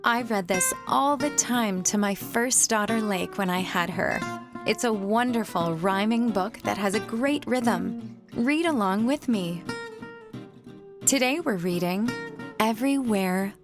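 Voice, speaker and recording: A young woman reads a rhyming picture book aloud with a gentle, sing-song storytime lilt. Her voice sits at a middle pitch, and soft music plays faintly behind her. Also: measured pace. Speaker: children's book reader.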